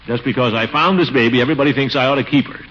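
An actor playing a pompous, flustered but soft-hearted middle-aged bachelor in an old radio comedy, with a blustery, exclaiming manner. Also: male voice, medium pitch, racing, close to an everyday voice. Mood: exasperated. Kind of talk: 1940s radio comedy acting. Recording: muffled, noisy old radio recording.

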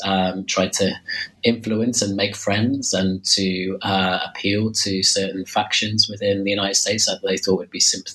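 A man's voice: low-pitched, sharp tone, poor audio. A man with a slight cold, losing his voice a little, talking about his book in an interview, hesitant with many fillers and restarts. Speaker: author discussing his book. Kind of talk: interview answer.

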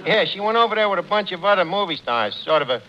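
A breezy New York tavern manager in a 1940s radio comedy, pitched high with a swooping delivery. He is slangy and mangles fancy words, on a dull, noisy old recording. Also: animated, quick pace. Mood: breezy, wry. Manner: slangy, wisecracking, full of malapropisms. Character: cheerful wisecracking tavern manager who mangles his words. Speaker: tavern manager, lead comic.

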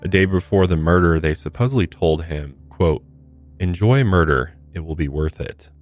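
A man's voice: low voice, normal speed, a little mellow, faint music behind. A man co-hosting a true crime podcast, reading case details and adding short opinions. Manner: reads case notes, adds brief opinions. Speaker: podcast co-host.

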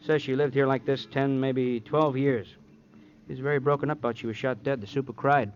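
An actor playing a plain-spoken, unbookish police detective in old radio drama, clipped and matter-of-fact. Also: male voice, medium pitch, fairly even intonation, fairly quick, natural voice. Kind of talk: 1950s radio crime drama acting. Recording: dull, slightly noisy old radio recording.

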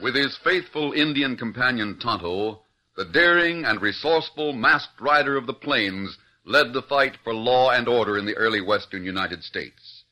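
A man's voice: medium pitch, lively intonation, normal speed, bright, forceful tone, low-quality recording. A man announcing and narrating an old radio western in a stirring, declamatory style. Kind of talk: dramatic 1940s radio announcing.

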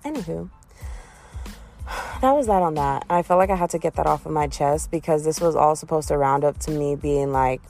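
A woman in her early twenties hosting a casual solo podcast, chatty and self-deprecating, often jumping up in pitch with giggles. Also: brisk, gravelly, darkish tone, faint music behind. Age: 20s. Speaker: podcast host. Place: in a car.

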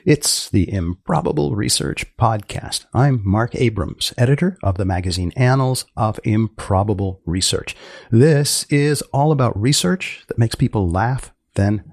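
A man hosting a science humor podcast, interviewing in a deliberate, deadpan way. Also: fairly low pitch, vocal fry, dry recording, studio quality. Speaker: podcast host.

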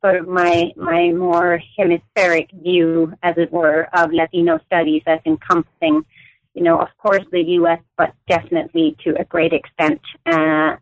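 A woman in her forties, raised in Denmark, speaking as an academic guest in an interview, choosing her words with many pauses and restarts. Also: fairly low pitch, creaky, narrow, tinny audio. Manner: thoughtful, many fillers and restarts. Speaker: guest author, anthropologist.

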